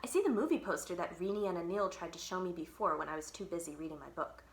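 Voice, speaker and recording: A woman's voice: on the low side, fast, reverberant. A woman reading a children's book aloud expressively, leaning on key words with dramatic emphasis. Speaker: library read-aloud storyteller.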